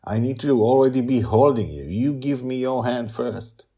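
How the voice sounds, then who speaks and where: A man's voice: medium pitch, lively intonation, moderate pace, warm tone, slightly rough, pitched above the performer's own voice. Man acting out an emphatic, playful, protective dad. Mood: patient, insistent. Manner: emphatic, patient, amused. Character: playful, protective father teaching his young daughter.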